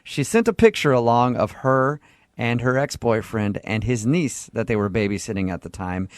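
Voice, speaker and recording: A man's voice: mid-range voice, lively intonation, normal speed, no echo, clean studio sound. A man hosting a morning radio show with casual, comedic, teasing banter. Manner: joking, teasing. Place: radio studio.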